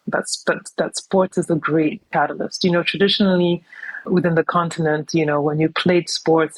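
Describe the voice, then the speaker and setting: A woman's voice: low voice, no echo, low-quality recording. Woman, podcast guest, chatty and reflective, lots of "you know", dead dry recording. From New York City, United States. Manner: reflective, thoughtful, many "you know" fillers. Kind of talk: casual conversational interview.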